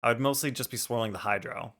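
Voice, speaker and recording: A man's voice: medium pitch, fast, a bit bright. A man chatting casually on a game livestream, informally thinking aloud as he plays. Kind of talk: casual livestream gaming chat. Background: music.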